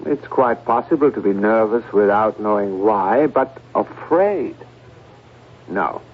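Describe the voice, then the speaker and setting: An actor playing a skeptical psychiatrist in a radio drama, questioning in a pointed, measured manner. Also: male voice, fairly low pitch, expressive, breathy edge, full character voice. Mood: probing, analytical. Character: probing, skeptical male psychiatrist. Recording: muffled old radio broadcast.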